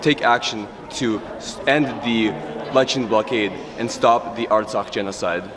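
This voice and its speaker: Presenter, lowish male voice, solemn, outdoors with a crowd. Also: measured pace. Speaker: rally presenter. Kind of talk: scripted appeal to camera. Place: outdoors at a memorial.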